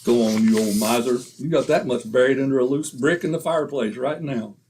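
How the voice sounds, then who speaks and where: An actor playing a boastful, wisecracking husband in a 1940s-style radio comedy. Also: male voice, medium pitch, a little fast, a little mellow, slight background noise, lightly acted. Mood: brash. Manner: brash, wisecracking. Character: boastful, blustering middle-aged husband.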